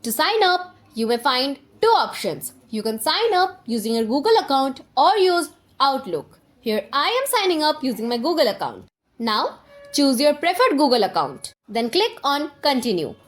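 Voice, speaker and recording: High-pitched, lively woman tutorial presenter. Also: normal speed. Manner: instructional, step-by-step, addresses viewer directly. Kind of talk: scripted software tutorial narration.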